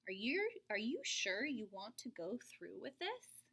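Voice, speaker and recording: Woman reading as a storybook dad, secretive, knowing, hushed and confiding, fairly high voice. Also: big pitch swings, measured pace, slightly rough, full character voice. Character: secretive, knowing middle-aged father.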